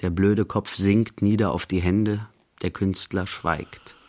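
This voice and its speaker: An actor playing the narrator of a literary radio play, telling an artist's day in measured, short phrases. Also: male voice, low-pitched, steady tone, darkish tone. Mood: measured narrating. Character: narrator recounting an artist's day in present tense.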